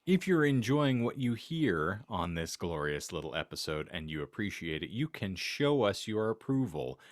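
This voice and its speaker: Very low-voiced man with florid phrasing. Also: sing-song, normal speed, no room sound, studio quality. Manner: wordy, florid, mock-formal vocabulary. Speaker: podcast co-host and reader of fan fiction. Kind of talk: comedic podcast banter and introduction.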